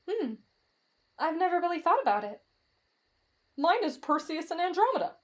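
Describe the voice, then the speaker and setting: A woman voicing a timid, lovestruck teenage boy in an anime-style roleplay drama, with a dramatic, whiny delivery that swings between fretful and gushing. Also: very high-pitched. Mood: chatty, cautious. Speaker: voice actor in roleplay audio.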